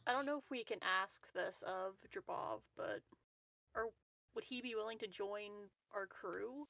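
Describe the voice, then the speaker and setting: A woman's voice: medium pitch, unhurried, vocal fry, a bit bright. A woman chatting informally and playfully as a player in a tabletop roleplaying game. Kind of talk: casual chat.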